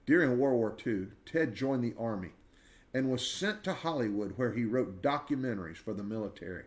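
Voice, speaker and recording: A man in his sixties reading a children's biography aloud in a measured, expressive storyteller's way, with dramatic pauses. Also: mid-range voice. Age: 60s. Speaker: read-aloud narrator.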